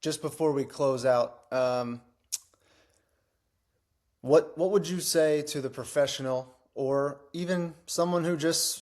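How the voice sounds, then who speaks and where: A man's voice: a little high, takes it slowly, a little mellow. A man hosting a podcast interview in a casual, conversational style. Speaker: podcast host, fitness trainer.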